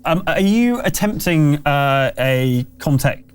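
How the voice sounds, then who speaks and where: A man's voice: a little high, animated, takes it slowly, clean tone. A man running a tabletop roleplaying game, narrating and explaining in a conversational, slightly hesitant way. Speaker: game master.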